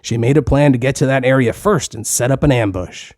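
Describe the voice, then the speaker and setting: A man's voice: mid-range voice, brisk, a little mellow, no echo, studio quality. A man reading a scripted story for children as a narrator, in simple, plain language.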